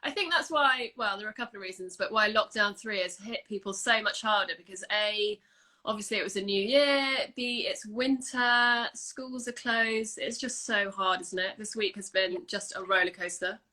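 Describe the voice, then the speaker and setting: A woman hosts an Instagram live from home, talking into her phone or laptop. She is chatty and upbeat, with a bright tone at an ordinary pitch. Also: moderate pace. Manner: chatty and upbeat, full of everyday British phrases. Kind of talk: casual video chat. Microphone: phone or laptop for a livestream.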